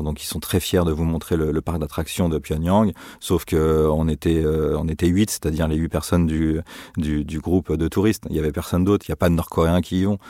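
A man's voice: rumbling low, a little mellow, dry recording, clean studio sound. A man casually recounting a trip he took, hesitant and full of fillers and restarts.

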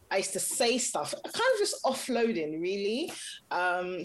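Chatty woman who laughs a lot. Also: fairly low pitch. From Nigeria (Yoruba background), raised in Britain. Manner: chatty, laughs often, many restarts. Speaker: brand and marketing consultant and content creator. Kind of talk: casual personal interview.

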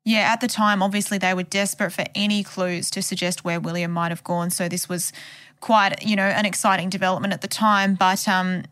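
A woman's voice: medium pitch, fairly even intonation, brisk, fairly bright tone. An Australian woman explaining a case as a journalist in a conversational true crime podcast style.

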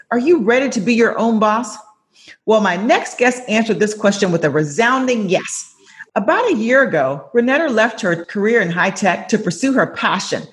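A woman hosting an interview show, upbeat and affirming as she introduces and questions her guest. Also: mid-range voice. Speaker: host. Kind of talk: podcast interview.

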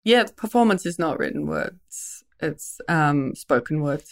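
Woman guest, comedian; gravelly, frank, wry, self-correcting. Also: deep voice, expressive. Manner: frank, wry, with halting restarts. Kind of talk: reflective interview talk.

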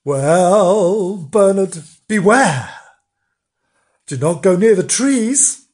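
A woman's voice: lowish voice, lively intonation, extremely slow, darkish tone, pitched above the performer's own voice. A woman voicing a sly male animal trickster in a storybook, mock-solemn and emphatic in giving warnings.

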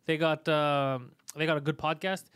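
Greek guy hosting a podcast, mocking and swearing a lot, kinda high voice, dead dry sound. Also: level tone, normal speed, a bit bright, clear, resonant tone, no room sound. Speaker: podcast host. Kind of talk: casual crude comedy chat.

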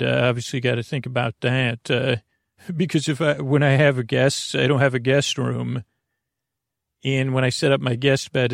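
A man with a gravelly, fry-laden voice delivers a whimsical bedtime podcast monologue in a very dry, close recording. He meanders, stops and restarts, interrupts himself and chats to himself as he goes. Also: medium pitch, dry recording. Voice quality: creaky, gravelly. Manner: halting, meandering, self-interrupting, talks to himself. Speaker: podcast host.

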